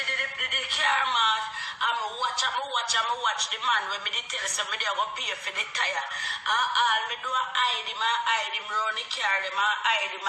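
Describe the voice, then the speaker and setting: A Jamaican woman with a thick Patois accent rants loudly and laughs through her story. Her voice is high and piercingly bright. She sits back from a phone mic in an echoey room, with faint music underneath. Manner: loud, animated, laughing, repeats herself. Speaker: social media personality. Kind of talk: casual storytelling rant. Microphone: phone on an Instagram live. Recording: roomy echo, distant from phone.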